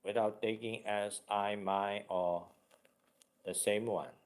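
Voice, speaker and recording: A man guiding a meditation as a teacher, speaking deliberately in short, halting phrases with much repetition. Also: on the low side, steady tone.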